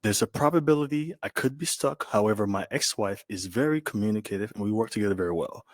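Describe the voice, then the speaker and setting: Low-pitched, husky man in his thirties, polite and deliberate contestant. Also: expressive, no room sound, clean studio sound. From Liberia. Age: 30s. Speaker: dating show contestant. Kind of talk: measured formal answers.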